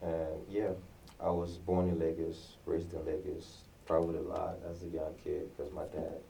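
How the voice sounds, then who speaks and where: A young man from Nigeria, chatting as a musician guest in an interview, relaxed and laughing often. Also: a bass voice, normal speed, creaky, distant mic, a little hiss or hum. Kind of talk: casual interview.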